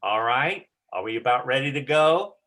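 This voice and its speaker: A male teacher with a medium-pitched voice directs an amateur play rehearsal. He coaches in a casual, encouraging way. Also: expressive, moderate pace, fairly bright tone.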